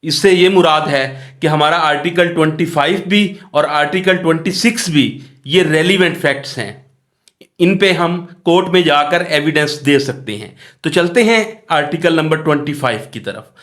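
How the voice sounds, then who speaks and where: A man's voice: on the high side, normal speed, a bit bright. A man giving a law lesson, reading out legal text and explaining it methodically with repeated phrases. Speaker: law lecturer.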